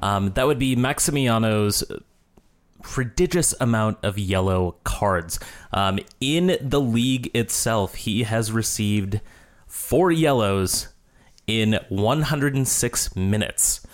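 A man co-hosting a sports podcast, presenting a segment in a measured, deliberate way. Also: medium pitch. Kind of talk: measured sports commentary chat.

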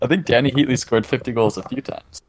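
A man's voice: mid-range voice, fairly even intonation, a little fast. A man co-hosting a podcast, reading out trivia hints in an easygoing way and laughing often. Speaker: podcast co-host, quiz giver. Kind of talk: casual chat.

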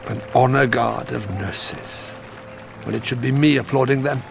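An actor playing an earnest, gracious minister in a dramatized historical scene, over music. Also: male voice, mid-range voice, fairly even intonation, a little slow, creaky. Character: Welsh Labour minister, middle-aged man, earnest. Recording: music underneath.

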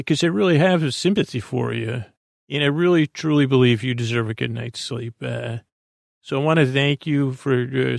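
A man hosting a sleep podcast in a rambling monologue, with a creaky voice and a soothing, meandering, halting delivery that often repeats words. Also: medium pitch, no echo, clean studio sound. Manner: soothing, lulling. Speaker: podcast host.

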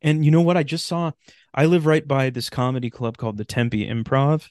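A man's voice: medium pitch, fairly even intonation, a little fast, a little mellow, no echo. Casual man with a close, dry sound. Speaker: podcast guest, writer of radio drama. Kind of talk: casual chat.

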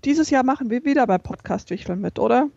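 A podcast co-host in casual chat, supportive and quick to correct details. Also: medium pitch, animated, gravelly, dark tone, dry recording, muffled recording.

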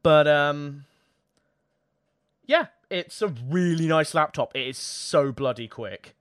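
A man's voice: a little high, slow, a bit bright, no echo, studio quality. A young British man chatting casually about tech as a podcast co-host, jokey and self-deprecating, his voice a little strained. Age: young adult. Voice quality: slightly worn, tired voice.

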